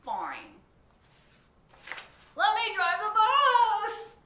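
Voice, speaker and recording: A woman voicing an excitable, whiny male pigeon who begs and pleads melodramatically in a storybook read-aloud. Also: a little high, a little slow, voice pushed up. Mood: wheedling. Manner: wheedling, begging, melodramatic. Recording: echoey room, distant mic, faint noise.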